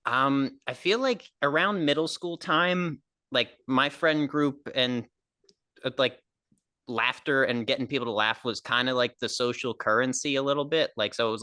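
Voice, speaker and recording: A man's voice: medium pitch, clean tone, no room sound, studio quality. A nasal-voiced man in his twenties with a New Jersey accent, a comedian guest rambling loosely in a casual podcast interview. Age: 20s. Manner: rambling, filler-heavy, relaxed.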